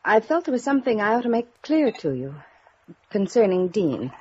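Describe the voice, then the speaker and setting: A woman's voice: mid-range voice, big pitch swings, normal speed, darkish tone, slightly rough. A woman playing a proud, jealous small-town society lady in a 1950s radio drama, haughty, proper and guarded. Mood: strained. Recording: muffled old radio recording.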